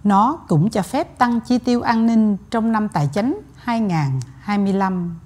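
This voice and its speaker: A woman anchoring a TV news bulletin in a studio, reading in an even, formal way. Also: medium pitch, a little slow.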